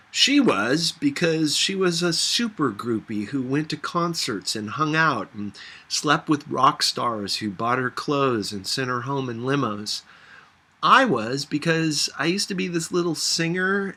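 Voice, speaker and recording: A man's voice: a little high, pushed and bright. A man reading a first-person story aloud in a conversational, reflective manner. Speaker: audiobook reader.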